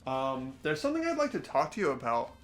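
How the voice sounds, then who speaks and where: A man's voice: mid-range voice, wildly swooping pitch, fast, reverberant, soft background music. A man voicing an earnest, slightly hesitant teenage boy who reasons aloud as he narrates a game mystery. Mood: earnest, questioning. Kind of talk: fan voice-over of visual novel game.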